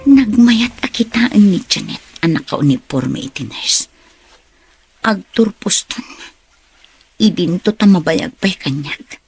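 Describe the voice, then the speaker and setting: A woman acting a scheming, envious young woman in a radio drama, with a husky, theatrical delivery. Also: on the low side, lively intonation, takes it slowly, long pauses, a little mellow, faint music behind, full character voice. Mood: brooding, scheming.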